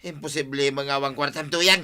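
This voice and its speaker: Sharp, forceful gambling husband, Ilocano accent. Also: male voice, mid-range voice, fairly even intonation, normal speed. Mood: angry, threatening. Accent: Ilocano Filipino. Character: hot-tempered gambling-addicted husband demanding money from his wife. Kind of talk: radio comedy-drama acting.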